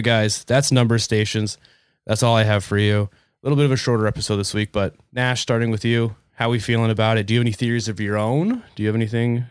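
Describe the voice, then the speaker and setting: A man's voice: fairly low pitch, clear, resonant tone, dry recording, clean studio sound. A congested man hosting a casual podcast, talking informally and swearing freely. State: congested with a cold. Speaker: podcast host.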